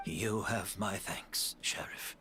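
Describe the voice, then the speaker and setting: An actor playing a composed, courteous aging gentleman in a video game, measured and theatrical. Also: deep voice, in a whisper, exaggerated voice. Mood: solemn.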